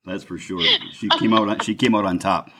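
Low-voiced rambling podcast host man. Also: fairly quick, breathy edge. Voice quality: fairly loud voice. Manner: rambling, restarts often, chuckles. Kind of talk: casual interview talk.

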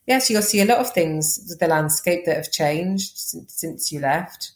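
A woman with a Welsh accent, chatting quickly as a podcast guest, full of fillers and restarts. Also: fairly low pitch, lively intonation, roomy sound. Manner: fast-talking, chatty, lots of fillers. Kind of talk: casual interview chat.

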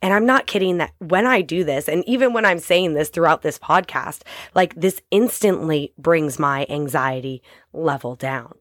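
A woman in her thirties with a low, raspy voice, hoarse from a cold as she hosts a short podcast. She speaks directly and encouragingly to her listeners. The sound is dry and close, with no room around it. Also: lively intonation, moderate pace, fairly bright tone, no room sound. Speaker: podcast host. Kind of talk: short motivational podcast talk.

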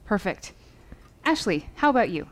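Female lawyer coach, lively intonation, encouraging, instructive, composed, naturalistic podcast drama. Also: mid-range voice, normal speed. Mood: encouraging, businesslike. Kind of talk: naturalistic fiction podcast acting.

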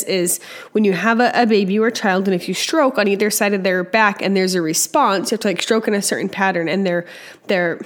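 A young woman with a slightly hoarse, scratchy voice from a cold, hosting a casual educational podcast and chatting with lots of fillers. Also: medium pitch. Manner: chatty, with many fillers and restarts. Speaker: podcast host and early childhood educator.

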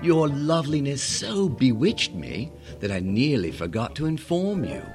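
A man's voice: mid-range voice, sing-song, a little slow, darkish tone. A man playing a dashing suitor and storyteller in a radio drama, grandiose and flowery in manner. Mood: courtly, wooing. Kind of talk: theatrical radio drama acting. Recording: music behind the voice.

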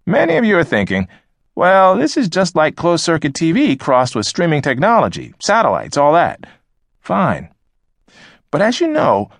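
A man's voice: medium pitch, no room sound, clean studio sound. An actor playing a slick, showy tech executive in an audiobook, chatting like a salesman presenting from a stage. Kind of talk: audiobook fiction performance.

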